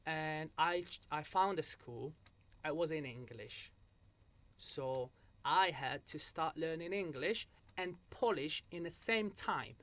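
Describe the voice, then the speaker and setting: A man's voice: fairly high pitch, slow pace, fairly bright tone. A young man from Algeria, a guest in a casual interview, telling his story with frequent restarts and a repeated "so what happened?".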